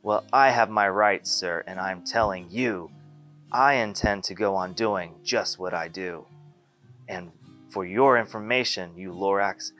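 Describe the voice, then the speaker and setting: A man voicing a greedy, stubborn male factory owner who shouts a defiant rhymed rant in a storybook reading. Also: mid-range voice, voice pushed up.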